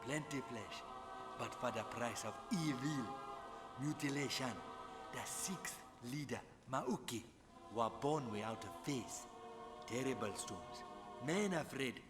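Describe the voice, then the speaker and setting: A menacing cannibal chieftain from a game cutscene, speaking in slow, halting broken English. His words hang in a long reverb while music swells underneath. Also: mid-range voice, animated.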